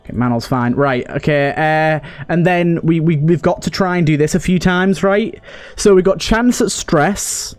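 A man's voice: on the high side, normal speed, clear, resonant tone, soft background music. A man giving casual let's play commentary, pleading with and exclaiming at the game characters as he talks. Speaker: gaming video host and player.

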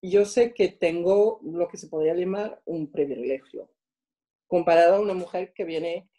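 A woman with a French accent answers interview questions in a mellow, slightly low voice. She speaks reflectively and haltingly, often stopping to hunt for the next word. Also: rough recording. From France. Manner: halting, searching for words. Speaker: interviewed guest.

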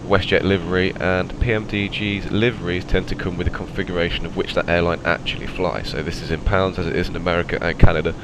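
A low-pitched man talks through his flight deck setup in a relaxed, chatty way, full of ums and okays. He has a cold, and his voice sounds slightly raspy. A constant hum of cockpit ambience sits clearly behind him. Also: quick pace, few pauses. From England. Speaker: flight simulator player. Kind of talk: casual narrated cockpit walkthrough.

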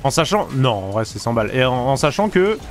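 Young male streamer, upbeat teasing banter, lively voice, light music bed. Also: mid-range voice, a little fast. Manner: joking, teasing, animated. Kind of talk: casual gaming commentary. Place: home streaming room. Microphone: desk microphone with headset.

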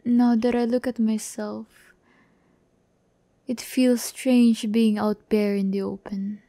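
ASMR roleplay: vain, condescending young female demon, played by a woman, gravelly fry, close dry mic. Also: medium pitch, mellow, no echo. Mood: self-admiring, sleepy. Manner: slow, condescending, teasing, often dropping to a whisper. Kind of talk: breathy ASMR audio roleplay. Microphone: close microphone, dry sound.

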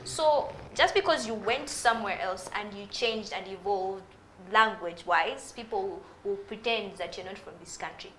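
A woman's voice: medium pitch, moderate pace, slight background noise, low-quality recording. A young woman with a Ugandan accent, arguing animatedly as a guest on a TV studio panel, speaking into a lapel mic. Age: young adult. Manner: animated, gestures while arguing.

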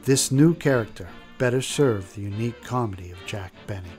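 A man's voice: lowish voice, lively intonation, a little fast, darkish tone. Male documentary narrator over music. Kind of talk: scripted narration.

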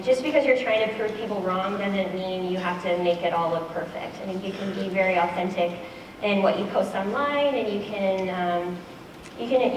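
A woman's voice: medium pitch, background noise. A woman in her twenties giving a candid, earnest talk from a large auditorium stage on a headset mic, with frequent ums and restarts. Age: 20s. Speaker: speaker. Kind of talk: conference talk. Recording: echoey hall, heard from far off.

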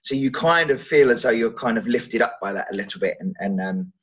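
A man in his thirties with a medium-pitched voice gives casual interview answers. He speaks a little haltingly, as an actor on a video call from home. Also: expressive, fairly bright tone. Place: indoors at home.

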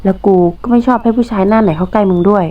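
A woman with a nasal voice voicing an intense young man slowly confessing his love, recorded muffled from a distance. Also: medium pitch, fairly even intonation, close to an everyday voice. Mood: tender. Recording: muffled, distant home mic.

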